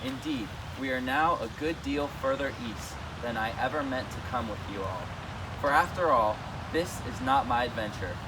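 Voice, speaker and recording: A man's voice: mid-range voice, lively intonation, normal speed, natural voice. Man reading as wise wizard, noisy outdoor recording. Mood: matter-of-fact. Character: wise, commanding old male wizard guide. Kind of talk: amateur readers' theater reading.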